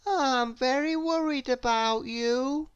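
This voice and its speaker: A woman's voice: high voice, smooth, no room sound, lo-fi sound, pitched above the performer's own voice. A woman voicing an anxious, fretful little storybook man who worries plaintively about everything. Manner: worried, plaintive, drawn-out delivery.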